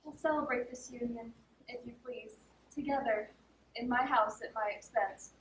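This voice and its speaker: Woman playing a high-pitched earnest noblewoman, echoey. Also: fairly even intonation, normal speed, close to an everyday voice. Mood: composed. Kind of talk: theatrical stage acting of classic verse comedy. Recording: echoey stage, distant mic, faint noise.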